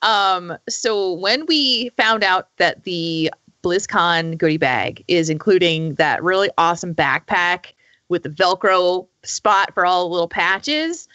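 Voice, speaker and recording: Gal co-hosting a gaming podcast, bright voice, chatty n keen, no room echo. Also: female voice, fairly low pitch, expressive, slow pace, lo-fi sound. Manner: enthusiastic, informal. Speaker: podcast co-host. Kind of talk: casual gaming podcast chat.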